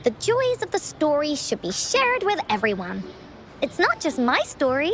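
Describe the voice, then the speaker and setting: A cheerful little girl from a video game, chirpy and talking about herself by name. The voice sits very high and bounces up and down with lively swings. Game music plays clearly behind her. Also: normal speed, heavily acted. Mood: eager. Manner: bubbly, refers to herself by name. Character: cheerful childlike girl who talks of herself in third person.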